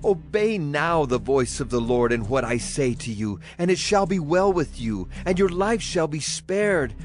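Actor as a grave ancient prophet over music. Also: male voice, a little high, very animated, unhurried. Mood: urging. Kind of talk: dramatized scripture reading.

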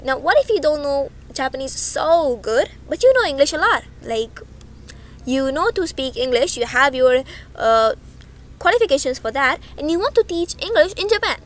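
A woman's voice: high-pitched, fairly bright tone. A young woman teaching over slides in a chatty way. She often checks in with "okay?" and corrects herself as she goes. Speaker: online language teacher. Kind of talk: casual explanatory lesson over slides.

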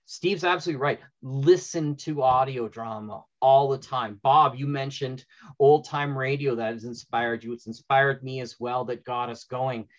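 A man's voice: medium pitch, steady tone, normal speed. A middle-aged man hosting a panel discussion on a video call, giving advice emphatically and repeating phrases for effect. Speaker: panel host and moderator. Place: indoor room, on a video call. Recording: dull, lo-fi video call audio.

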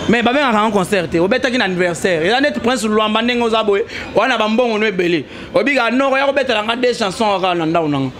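A man's voice: high-pitched, fast, hardly any pauses. A young man in a heated casual debate, talking animatedly and pressing his points, recorded on a handheld mic in a small indoor studio. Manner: animated, argues with rising emphasis, mixes French and Lingala. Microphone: handheld mic held toward him.

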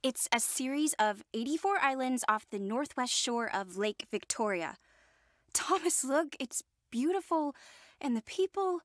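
An actress playing a kind, gently teasing young woman in a radio drama. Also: sits high, lively intonation, measured pace. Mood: friendly. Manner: friendly, playful.

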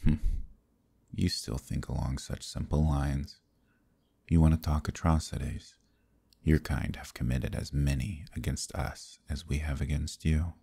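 Seductive demon king villain, ASMR roleplay: rock-bottom pitch, breathy, smug and quietly menacing. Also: steady tone, heavily acted. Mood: cold, reasoning. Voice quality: very deep, gravelly, breathy. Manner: slow, smug, softly threatening. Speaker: voice actor.

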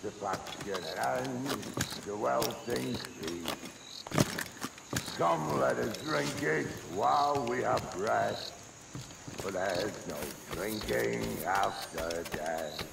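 Gravelly pirate singing an old drinking song, very echoey, insects and noise around, video game. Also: male voice, lowish voice, very slow, heavily acted. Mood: brooding. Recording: reverberant, jungle insect ambience.

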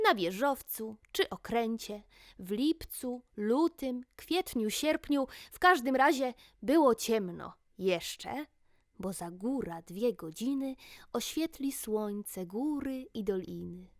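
A woman storyteller narrating a rhymed fairy tale to children in an expressive, theatrical manner. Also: on the high side, slow pace, no room sound, clean studio sound.

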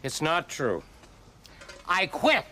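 Drunk middle-aged ex-supervisor, slow slurred drawl, high bright voice, faint outdoor hum. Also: male voice, wildly swooping pitch, full character voice. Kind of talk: mockumentary comedy acting. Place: outdoors at a trailer park picnic.

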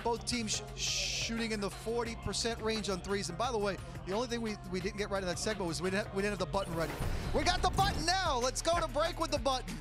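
A man's voice: sits high, fast. A middle-aged man presenting a sports halftime show as host in an upbeat broadcast style. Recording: loud music bed under voice. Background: loud music.

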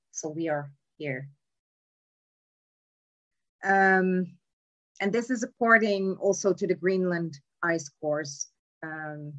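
A young woman giving a remote lecture on palaeontology from an indoor room over a webcam, explaining slides in a measured way with frequent ums. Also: fairly low pitch, fairly bright tone. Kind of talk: slide lecture on cave bears. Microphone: webcam in a video call.

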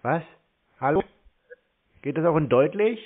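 A man's voice: on the high side, expressive, a little slow. A man who banters on the phone in a brusque, sarcastic, mocking way, needling the caller. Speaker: scambaiting host taking scam calls. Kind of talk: teasing, confrontational phone banter. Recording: tinny, band-limited phone line.

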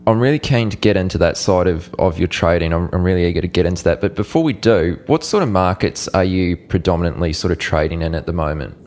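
Low-voiced male podcast host. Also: fast, few pauses, a little mellow. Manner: eager, casual questioning. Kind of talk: interview questions.

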